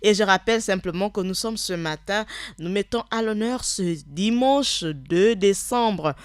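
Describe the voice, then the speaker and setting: A woman's voice: medium pitch, measured pace, no echo. Unscripted woman radio host, dry sound. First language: Punu. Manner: unscripted, hesitant, with restarts and "hein" and "alors" fillers. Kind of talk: radio talk presentation.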